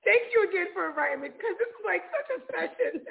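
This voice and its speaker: A woman's voice: very high voice, animated, fast talker, gravelly, background noise. A playful, exclamatory woman chatting casually as a guest in a word-association game. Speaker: guest being interviewed. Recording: narrow phone-like band.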